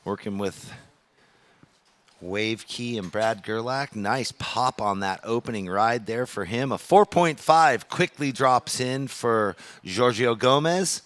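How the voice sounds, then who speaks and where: Male surf webcast commentator and announcer, mid-pitched voice, live contest delivery. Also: expressive, slow pace, a bit bright.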